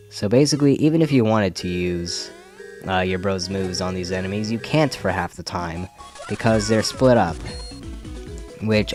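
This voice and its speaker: A man's voice: sits low, a little mellow. A young man with a slight head cold giving casual, rambling let's play commentary, often correcting himself. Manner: rambling, self-correcting, wry. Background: faint game music.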